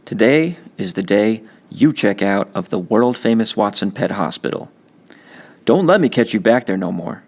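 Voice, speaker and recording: A man's voice: on the low side, lively intonation, moderate pace, gravelly, darkish tone. A man voicing a cocky, streetwise teenage older brother with blunt, slangy talk in a novel reading. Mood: firm, encouraging.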